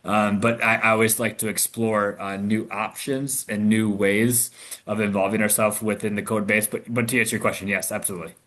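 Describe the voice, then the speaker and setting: A man from Michigan, an investor answering questions on a video call, talking haltingly with many restarts and fillers. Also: on the low side, steady tone, fairly bright tone. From Michigan, USA. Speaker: investor guest.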